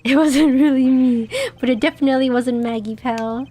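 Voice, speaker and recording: A woman's voice: high voice, fairly even intonation, moderate pace, dark tone. A woman voicing a loyal, bumbling male detective who talks earnestly and keeps saying "pal". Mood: protective. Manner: gruff, earnest, tacks on "pal".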